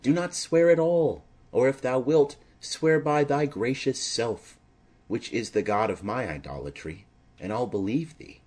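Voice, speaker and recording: A man voicing a smitten, anxious young maiden in earnest, measured Shakespearean verse, as in an audio drama. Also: mid-range voice, animated, darkish tone. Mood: pleading, cautious.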